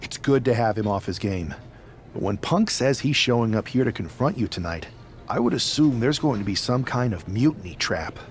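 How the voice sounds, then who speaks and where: Man playing protective wrestler pal for game cutscene; conversational but animated, earnest. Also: mid-range voice, normal speed, slight background noise, close to an everyday voice. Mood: wary. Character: loyal male wrestler ally, wary and protective. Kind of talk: video game cutscene acting.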